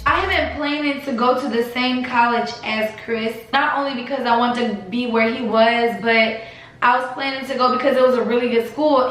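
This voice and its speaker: A young woman tells a personal story to her viewers in a relaxed, confiding way. Her voice is a little high and heavy with late-night sleepiness. The room rings with strong echo, and faint music plays underneath. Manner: chatty, confiding, addresses viewers as y'all. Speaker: vlogger. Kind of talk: casual storytime.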